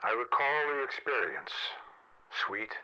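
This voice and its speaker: An actor playing a solemn, tender man who recites poetry in measured, deliberate phrases. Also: lowish voice, big pitch swings, muffled recording. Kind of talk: slow dramatic poetry recitation.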